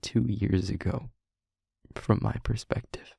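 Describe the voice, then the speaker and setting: A man playing an anguished demon in an intimate audio roleplay, speaking haltingly and hushed, pleading with someone he loves. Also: on the low side, steady tone, dry recording, studio quality, exaggerated voice. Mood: grave, hesitant. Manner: halting, hushed, breathy near-whisper, pleading. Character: anguished male demon confessing to a loved one.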